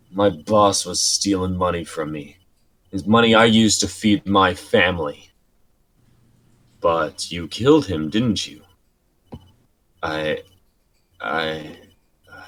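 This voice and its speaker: A man reading the part of a calm, cold old man in a robe, measured and cutting. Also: sits low, heavily acted.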